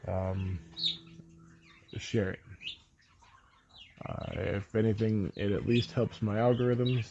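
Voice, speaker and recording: A man's voice: lowish voice, slow pace, vocal fry, dull audio, a little hiss or hum. A man with a stuffy, congested voice chatting casually with many ums while he paints a model and explains each step. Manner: relaxed, rambling with many ums. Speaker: miniature painting channel host.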